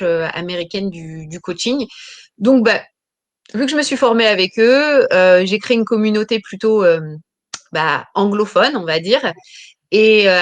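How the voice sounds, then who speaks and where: A woman in her thirties, a business coach talking about her career in an interview, pausing often with fillers and restarting phrases. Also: mid-range voice. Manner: hesitant, many fillers and restarts. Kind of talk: interview answers.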